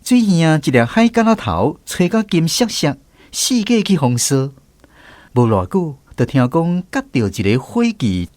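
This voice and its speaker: A man narrating a radio drama in a measured storytelling way, recalling childhood memories. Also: on the high side, sing-song, a little mellow, no room sound.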